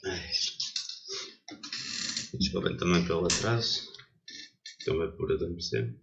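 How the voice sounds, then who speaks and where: A man's voice: sits low, a little slow, gravelly, lo-fi sound. A young man, stuffy from a cold, chatting hesitantly while setting up a gaming livestream. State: congested from a cold. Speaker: streamer. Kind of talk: casual gaming livestream chat.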